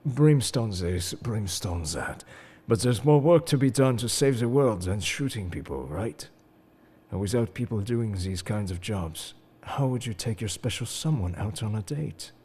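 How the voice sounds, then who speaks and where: A man playing a suave, enigmatic, quietly world-weary secret agent in an intimate, flirtatious audio roleplay, speaking to the listener in a coaxing, theatrical way. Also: fairly low pitch, heavily acted. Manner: smooth-talking, coaxing, theatrical. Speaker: voice actor in fan audio roleplay.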